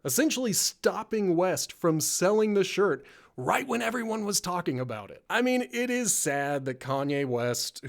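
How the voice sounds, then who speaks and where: A man hosting a comedic news show, delivering scripted commentary with wry, sardonic asides. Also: medium pitch.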